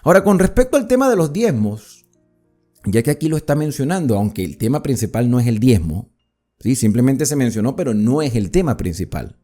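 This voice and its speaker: Man, Venezuelan accent, Bible teacher, warm mellow voice, lively rising and falling pitch, repeats points for emphasis. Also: fairly quick, faint music behind. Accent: Venezuelan, from near the Colombian border. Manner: conversational, asks and answers his own questions, repeats points for emphasis. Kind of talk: explanatory Bible study talk.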